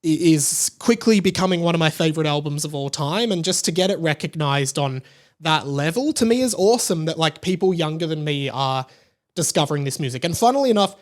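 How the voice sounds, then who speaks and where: Higher-voiced enthusiastic Australian man. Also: animated, fairly quick. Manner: enthusiastic, effusive. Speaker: podcast host. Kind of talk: casual solo podcast chat.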